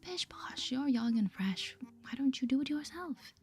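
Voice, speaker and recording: A woman voicing a nostalgic, brisk elderly village lady from a cozy game in an airy, old-fashioned whisper. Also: high voice, lively intonation, voice pushed up. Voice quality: breathy, whispered.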